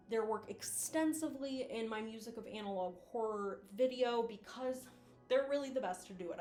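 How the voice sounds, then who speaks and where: A woman host talks through her commentary in a casual, slangy way, full of enthusiastic praise. Her voice sits at an average pitch. The room adds a noticeable echo, and music plays underneath. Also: darkish tone. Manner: informal, slangy, effusive. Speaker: video essayist, host.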